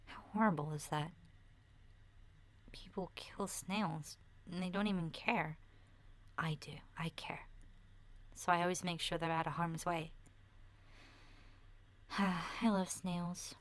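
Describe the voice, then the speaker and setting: A woman playing a gentle, sensitive child in a soft-spoken, often whispered ASMR roleplay about surviving, breathy, hesitant and confiding. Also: on the low side, steady tone, takes it slowly, pauses often. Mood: tender, earnest. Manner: hesitant, earnest, confiding, with sighs. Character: gentle, sensitive schoolchild surviving a zombie apocalypse.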